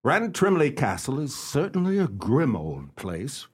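Gentleman detective for radio drama, male actor: inquisitive, self-assured, theatrical, very animated intonation, mid-pitched. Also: music in the background. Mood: courteous, businesslike. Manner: formal, deliberate, theatrical delivery. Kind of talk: radio play acting with first-person narration.

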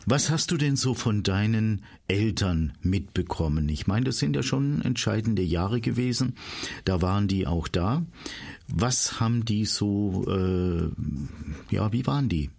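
A man's voice: on the low side, expressive, measured pace, vocal fry, darkish tone. A man hosting a radio show, interviewing a guest in a studio.

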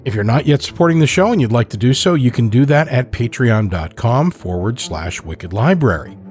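A man's voice: mid-range voice, animated, moderate pace, darkish tone, faint music behind. A man reading a scripted introduction as the host of a podcast.

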